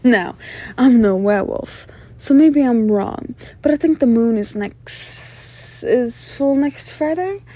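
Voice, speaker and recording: A woman's voice: on the high side, animated, dark tone. A woman playing a flirtatious, sly mafia boss in an ASMR roleplay, coy and self-assured, with teasing hums and murmurs. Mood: coy, musing. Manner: coy, teasing, drawn-out hums and knowing laughs.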